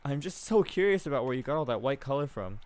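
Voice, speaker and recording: A man's voice: medium pitch, animated, fast talker, a little mellow, natural voice. A man playing a sly, teasing servant woman. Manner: teasing, insinuating, giggling.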